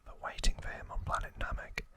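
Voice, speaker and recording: A man speaks in a soft, breathy whisper as an ASMR host. The whisper is very bright and sibilant, with almost no voiced tone. He reads and comments in a hushed, deliberate way.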